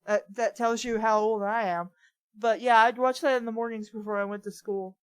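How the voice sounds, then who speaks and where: A woman with a fairly high voice talks casually, as in a group of friends riffing on a story. She is one of the show's readers. The sound is dry, with little room in it. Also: fairly even intonation, normal speed, clear, resonant tone, no echo. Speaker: reader on a bad fan fiction read-along show.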